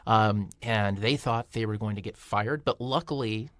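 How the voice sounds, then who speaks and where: A man giving casual film commentary on a panel, with a halting, wry delivery. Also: fairly low pitch, a bit bright, dry recording, studio quality. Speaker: podcast panelist.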